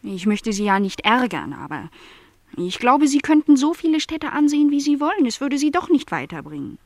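Earnest young housemaid, slightly high-voiced. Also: female voice, sing-song, moderate pace, a little mellow, dry recording, heavily acted. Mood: insistent, stubborn. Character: lonely twenty-year-old housemaid, wistful, stubborn, hopeful. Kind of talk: literary radio play dialogue.

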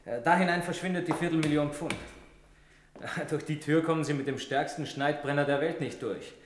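A man's voice: a little high, normal speed, slight background noise, close to an everyday voice. A man acting in a German radio play as a friendly, talkative young bank employee who eagerly explains things. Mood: explaining. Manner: chatty, eager explainer, chuckling easily. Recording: echoey room.

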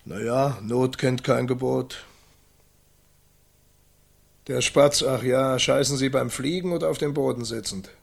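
A man's voice: mid-range voice, a little slow, gravelly, pauses often, husky. An actor playing a weary, blunt old crusader in a German radio play, laconic and musing as he grumbles. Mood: resigned.

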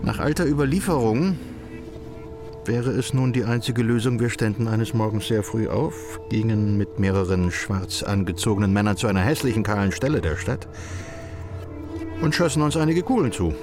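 An actor playing a wordy, resigned, ironic student friend in a radio drama, speaking in a measured, dry manner. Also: male voice, fairly low pitch, music in the background, close to an everyday voice. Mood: dry, resigned irony. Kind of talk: literary radio drama acting.

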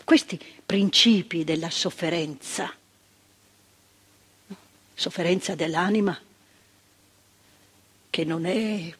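A woman's voice: on the low side, takes it slowly, long pauses, husky, exaggerated voice. An older actress playing a woman psychiatric worker who talks in a reflective, searching way, repeating words for weight. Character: earnest woman psychiatric worker recalling the past. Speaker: actress in a stage monologue. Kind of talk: theatrical monologue. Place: theatre stage. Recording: stage performance with long hall reverb.

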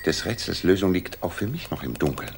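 An actor playing a brilliant, brisk and commanding detective in a 1960s German radio drama, musing and deliberate as he speaks with authority. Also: low voice, big pitch swings, a little hiss or hum. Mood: intent, explaining.

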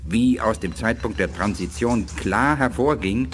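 An actor playing a dignified, solemn alien scientist of an empire in a science fiction radio play, speaking formally. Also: male voice, on the low side, a little slow. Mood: certain, grave. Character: grave, dignified alien imperial scientist, a man.

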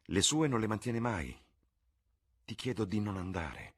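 A man voicing an earnest, melancholy painter in an audiobook, quiet and pleading, with a husky voice. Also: fairly low pitch, big pitch swings, a little slow, voice pushed up, exaggerated voice. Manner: grumbling, sad, pleading. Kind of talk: audiobook character voice.

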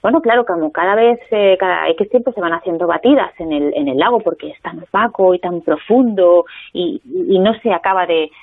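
A woman's voice: fairly low pitch, fairly quick, few pauses. A woman with a Spanish accent, a guest author in a phone interview, talking with many hesitations and restarts. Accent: Spanish (Spain). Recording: tinny phone line.

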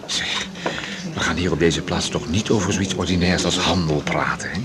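An actor playing a slippery ex-boyfriend in a Dutch radio drama, measured, pompous and evasive. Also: male voice, sits low, lightly acted. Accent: Dutch from the Netherlands. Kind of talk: naturalistic 1980s radio drama acting. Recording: loud background noise and ambience.

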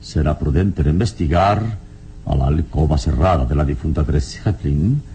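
An actor playing a serene, noble adventurer hero in an old radio serial, speaking in a measured, solemn way. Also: male voice, dark tone. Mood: calm, authoritative. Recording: noisy, rumbling old radio recording.

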